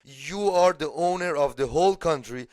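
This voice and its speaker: A man's voice: a little high, moderate pace, a little mellow, dry recording, clean studio sound. A man from Iran with an Iranian accent and Persian as his first language, talking casually about politics in a blunt, emphatic way with casual swearing. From Shiraz, Iran. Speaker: political podcast speaker.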